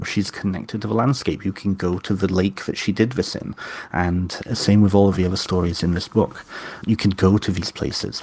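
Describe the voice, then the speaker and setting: A man with a very low, deep voice and a Welsh-tinged British accent tells an old legend with eager enthusiasm, restarting phrases as he goes. The sound is dry and close, with no room around it. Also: breathy edge, no echo. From Wales. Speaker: podcast guest, author and witch. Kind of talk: casual storytelling interview.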